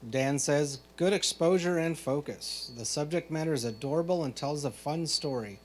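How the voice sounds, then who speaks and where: A man working as a photo competition judge, reading out prepared critiques in a measured, explanatory way. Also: a little high, darkish tone. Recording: clear background noise, hum.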